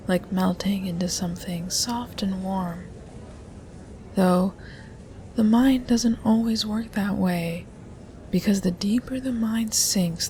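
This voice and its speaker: Female hypnotist for ASMR roleplay. Slow, hushed, coaxing delivery, with faint noise on the recording. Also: lowish voice. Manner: hushed, breathy half-whisper, coaxing with soft tag questions. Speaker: hypnotist in a collaborative audio roleplay.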